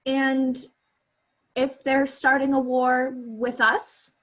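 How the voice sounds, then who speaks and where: A woman's voice: sits high, little pitch movement, drawn-out words, fairly bright tone, heavily acted. A young woman playing an earnest, improvising radio field reporter in a comedic radio play. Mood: probing. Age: young adult. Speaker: student actor. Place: small bedroom at home. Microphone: laptop on a video call.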